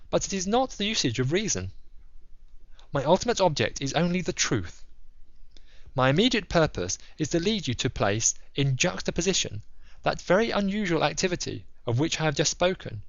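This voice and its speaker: Audiobook role, male narrator acting: self-assured gentleman sleuth, precise and emphatic, swooping intonation, dry close sound. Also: a little high, gravelly, no echo, muffled recording. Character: analytical, self-assured gentleman detective explaining his deductions. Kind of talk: dramatized audiobook dialogue.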